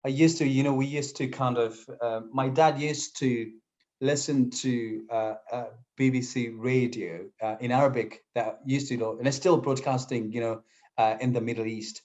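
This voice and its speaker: A man's voice: medium pitch, steady tone, normal speed, a little mellow. A young man from Syria whose first language is Kurdish, speaking English in a casual interview as a guest, with many fillers and restarts. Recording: slightly dull lo-fi audio.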